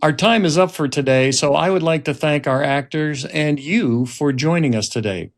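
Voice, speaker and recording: A man speaking as a writer-director and host, deliberate and pausing as he narrates or explains. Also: a little high. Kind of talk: scripted narration and reflective talk.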